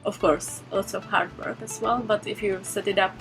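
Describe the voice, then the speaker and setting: Soft-spoken young woman, music under. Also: mid-range voice, steady tone, normal speed, creaky, low-quality recording. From Czech Republic. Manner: soft-spoken, short phrases, light laughs. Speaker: guest, music teacher turned property investor. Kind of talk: casual interview chat.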